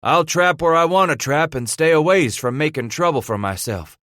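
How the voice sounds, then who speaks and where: A man's voice: a little high, expressive, moderate pace, fairly bright tone, no room sound, studio quality, pitched above the performer's own voice. A man reading aloud, voicing an independent, dismissive male free trapper with a drawl. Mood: scornful.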